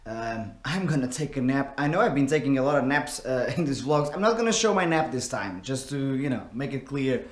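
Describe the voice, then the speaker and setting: A tired, hoarse man, a drum teacher, talking casually to camera in a vlog about his teaching day. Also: medium pitch, expressive, fairly quick, few pauses.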